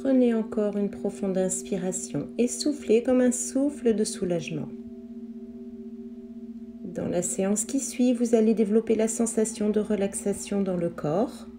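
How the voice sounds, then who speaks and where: A woman leading a guided yoga relaxation as an instructor, speaking calmly in measured, instructive phrases. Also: mid-range voice, noisy background. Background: meditation music with singing bowl tones.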